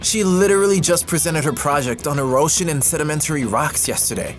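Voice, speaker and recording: Casting: man playing earnest, exasperated young guy student, pleading delivery with lively pitch swings, audio drama over music. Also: brisk, natural voice. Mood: incredulous. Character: earnest, embarrassed, apologetic young male student. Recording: music under the voice.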